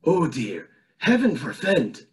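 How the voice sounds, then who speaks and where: A man's voice: a little high, very animated, slow pace. A man playing a haughty, scheming old cartoon tycoon, pompous, in an amateur table read. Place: home room, on a video call.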